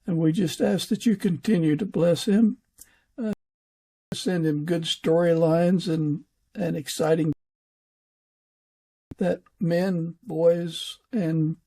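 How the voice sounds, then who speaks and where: A man hosting an interview podcast, speaking in an earnest, unhurried way with a husky, gravelly voice. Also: on the high side, steady tone, mellow.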